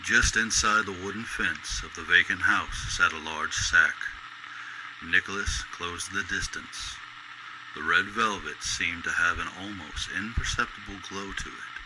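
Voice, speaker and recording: Male narrator, very low pitch, measured storybook delivery, old-time radio drama style, noisy ambient effects behind.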